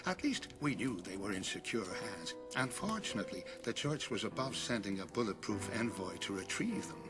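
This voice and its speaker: A man playing a kindly priest in a video game cutscene, gentle, wry and measured. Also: lowish voice, big pitch swings, over music. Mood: genial, wry.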